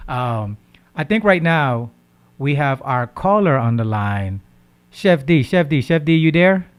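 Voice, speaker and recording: A man's voice: mid-range voice, expressive, unhurried, clean tone. A man hosting a radio talk show. His voice sits in an ordinary middle register. He talks animatedly, stumbles over repeated words, and checks in with "right?" after nearly every point. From Haitian background. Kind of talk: casual health talk on radio.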